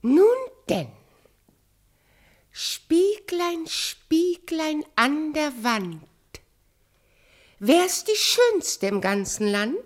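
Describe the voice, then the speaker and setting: A woman's voice: sits high, sing-song, extremely slow, long pauses, exaggerated voice. An actress playing a vain, cruel fairy-tale queen in a radio play, with a haughty, commanding, theatrical delivery. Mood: self-admiring. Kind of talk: German radio play acting.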